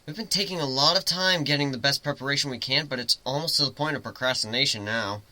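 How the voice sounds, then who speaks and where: A man giving an expressive, theatrical dramatic reading of a first-person story. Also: medium pitch, fast. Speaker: amateur story reader, narrator.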